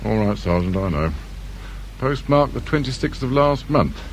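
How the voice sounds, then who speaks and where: An actor playing a gruff, world-weary older police inspector with a British accent, speaking drily and sardonically in radio drama style. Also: male voice, medium pitch, animated, moderate pace, natural voice. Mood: sardonic, brisk. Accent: British English. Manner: dry, grumbling, clipped questioning. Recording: hissy old radio drama recording.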